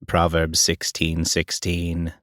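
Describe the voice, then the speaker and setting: Spacey male oddball for audio drama, acted by a man: low, extremely flat, earnest, dry sound. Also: slow pace, no room sound. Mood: dazed earnestness. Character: spacey, lanky man of uncertain age quoting scripture.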